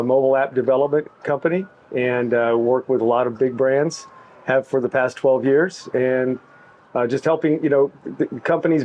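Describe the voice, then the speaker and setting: A middle-aged man talking about his work in an easygoing, halting way, with many restarts. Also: medium pitch, dark tone, highs cut off, a little hiss or hum. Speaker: tech executive, interviewee. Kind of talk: casual backstage interview.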